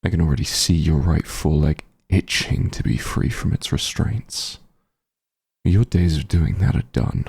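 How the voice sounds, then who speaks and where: A man playing a bitter, menacing fantasy werewolf hunter in an ASMR roleplay, speaking in a cold, deliberate, contemptuous way. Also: sits low, vocal fry, warm tone, husky, heavily acted. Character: bitter, menacing werewolf hunter grieving his missing friend. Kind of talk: scripted ASMR roleplay, slow dramatic monologue.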